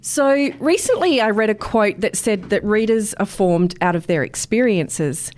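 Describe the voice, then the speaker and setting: A woman's voice: mid-range voice, expressive, a little slow, darkish tone. A woman hosting a podcast, speaking conversationally as she interviews a guest.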